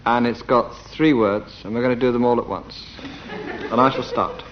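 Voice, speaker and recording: A man's voice: medium pitch, fairly even intonation, normal speed. A man bantering as a comedy panellist on a radio game before a studio audience. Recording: dull, very noisy old radio recording. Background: loud studio audience.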